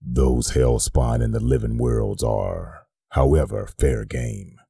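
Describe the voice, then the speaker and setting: A man performing a grave, clinical narrator who reads a demon-hunting manual in a measured, formal, gravelly voice, as scripted comic-dub narration. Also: extremely low pitch, fairly even intonation, warm tone, no room sound, clean studio sound, exaggerated voice. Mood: cold, instructive.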